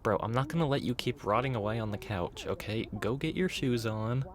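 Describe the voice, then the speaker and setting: A soft-spoken man playing an easygoing, teasing boyfriend in a casual, rambling, slangy roleplay monologue. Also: mid-range voice, brisk, crowd noise. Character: easygoing, caring, teasing boyfriend driving at night. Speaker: ASMR roleplay performer.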